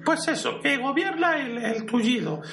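A man with a Spain Spanish accent voicing a timid, rambling priest in an amateur parody audio drama, thin falsetto-like voice, hesitant and apologetic. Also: lively intonation, moderate pace, bright, forceful tone, heavily acted. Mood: hesitant explaining. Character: timid, rambling priest, caretaker brother of a fallen conqueror. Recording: roomy, distant mic, music underneath.

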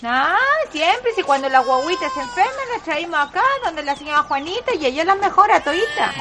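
A woman's voice: high-pitched, lively intonation, a little fast, background noise. A woman playing an anxious, devoted young peasant mother in a radio drama, with a rural Chilean accent, pleading and repeating her words. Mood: insistent. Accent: rural Chilean Spanish.

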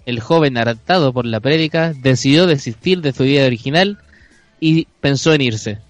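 A man's voice: medium pitch, moderate pace, faint music behind. A man with a Chilean accent narrating an improvised radio play in a mock-serious storytelling style.

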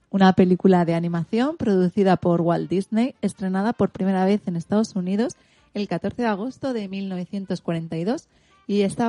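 A woman's voice: medium pitch, mellow, no room sound. A woman with an accent from Spain presenting a scripted radio segment.

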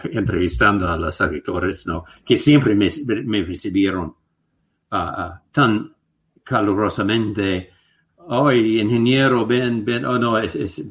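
Slow halting man. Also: deep voice, a bit bright. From United States. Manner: slow and halting, many restarts and filler sounds. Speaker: guest researcher. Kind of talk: interview answers, anecdotal.

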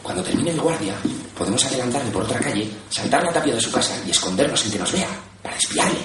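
A man's voice: medium pitch, animated, fast talker, a crowd in the background, natural voice. A man playing a grim, wary soldier in a zombie-siege radio drama. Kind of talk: dramatic scripted narration and acting. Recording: echoey, distant, loud music behind.

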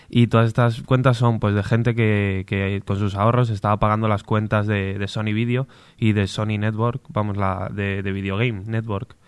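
Low, even-voiced man explaining informally. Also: smooth, dry recording. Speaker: show's tech commentator.

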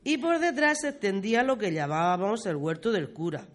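A woman's voice: mid-range voice, animated, fairly quick, close to an everyday voice. A woman playing a proud village grandmother reminiscing in a radio play, with a Murcian accent and a folksy, exclamatory way of talking. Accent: Murcian Spanish. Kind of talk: radio play storytelling dialogue.

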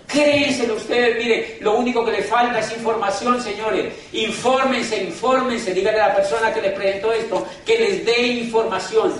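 A man giving a live business seminar, emphatic and preachy, often turning to the crowd directly and slowing down for dramatic emphasis. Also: fairly even intonation. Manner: emphatic, preachy, keeps addressing the crowd as "gentlemen"; dramatic slowdowns and pitch swings for emphasis. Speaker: business motivational speaker. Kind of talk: live seminar talk to an audience. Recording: echoey hall from far off, faint noise.

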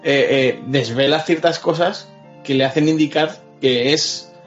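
A man's voice: fairly high pitch, unhurried. A man with a Spain accent in casual, colloquial podcast chat about video games. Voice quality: colloquial speech. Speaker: podcast panelist. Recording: dull lo-fi audio, music behind.

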